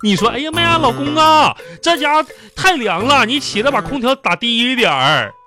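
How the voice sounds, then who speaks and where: A man's voice: very high-pitched, big pitch swings, normal speed, bright, forceful tone, soft background music, heavily acted. A man playing a sleepy, exasperated, henpecked husband in a comedy radio drama, with a Northeastern Chinese accent and a whiny, grumbling delivery. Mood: exasperated complaining.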